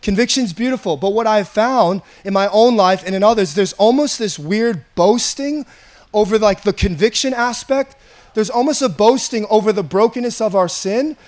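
Raspy high-voiced man preaching. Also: normal speed, a little mellow. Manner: earnest, conversational, repeats himself. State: hoarse. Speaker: pastor. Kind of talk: sermon.

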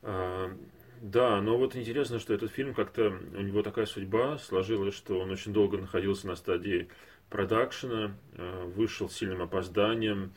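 A man's voice: on the low side, fairly even intonation, normal speed. A man co-hosting a podcast, discussing reflectively and conversationally, analytical and often searching for words. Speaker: podcast co-host.

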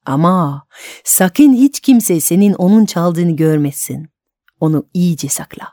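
A woman voicing a young folk tale princess, secretly helpful and caring, giving instructions gently. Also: lowish voice, takes it slowly, no echo, studio quality. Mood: caring, conspiratorial.

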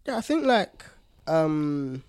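A man's voice: fairly high pitch, big pitch swings, takes it slowly, a little mellow, smooth. A man co-hosting a podcast, talking informally with plenty of banter. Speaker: podcast co-host. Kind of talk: casual discussion.

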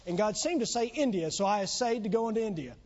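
Preacher, male, voice going hoarse, pitched high, earnest emphatic delivery, muffled lo-fi church recording. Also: lively intonation, fast, a little mellow. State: losing his voice. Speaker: pastor. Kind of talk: sermon. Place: church sanctuary.

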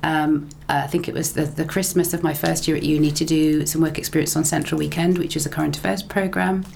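A woman's voice: sits low, level tone, fairly quick, creaky, darkish tone, a little hiss or hum. A middle-aged woman, casually telling her story in an interview, chatty and laughing easily. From England. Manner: chatty, laughs often, repeats phrases. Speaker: interview guest, broadcaster and lecturer.

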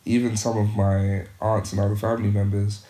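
A man's voice: fairly low pitch, level tone, fairly quick, mellow, roomy sound. A young man from the United Kingdom, a youth worker, talking reflectively as a podcast guest with many restarts and fillers. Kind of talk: casual interview conversation.

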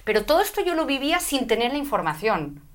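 A middle-aged woman from Spain, telling her story as an interview guest with an animated, spiritual way of talking. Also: high-pitched, quick pace, a loud crowd. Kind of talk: conversational interview.

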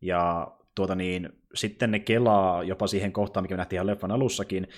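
Deep-voiced man recapping films, somewhat halting. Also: fairly even intonation. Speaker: podcast co-host. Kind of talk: casual film discussion and plot recap.

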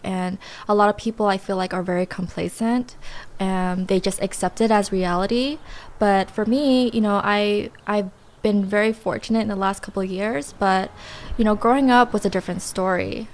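A young woman speaking as a podcast guest, reflective and unhurried, pausing often with fillers as she thinks. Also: mid-range voice, fairly even intonation. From United States. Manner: reflective, with many pauses and fillers. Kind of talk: casual interview.

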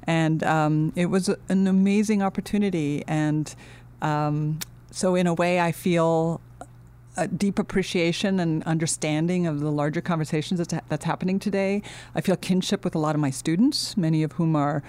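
A middle-aged woman from Finland, a guest in an interview, speaking earnestly and thoughtfully with many pauses and restarts. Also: low-pitched, fairly even intonation, a little mellow. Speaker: guest, architecture dean. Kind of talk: casual interview talk.

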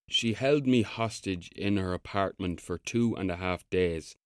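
Male Garda officer, Irish accent, needling, blunt, lowish pitch, audio drama, close dry recording. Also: takes it slowly, creaky, dry recording, exaggerated voice. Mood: deliberate reading aloud.

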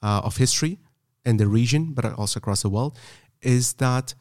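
A man hosting a podcast, talking earnestly with many pauses and fillers. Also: medium pitch, darkish tone, dry recording, studio quality. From Afghanistan. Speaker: podcast host. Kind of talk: casual podcast conversation. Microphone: podcast microphone.

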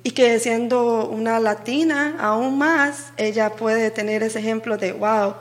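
A woman's voice: fairly high pitch, moderate pace. A woman with a Costa Rican Spanish accent, chatting casually as an interview guest and acting out remarks as she tells stories. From Costa Rica. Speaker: guest, coffee business owner.

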